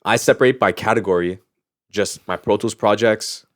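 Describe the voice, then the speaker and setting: Podcast co-host, male, low register, informal conversational delivery, dry sound. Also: moderate pace, no room sound. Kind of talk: casual podcast chat.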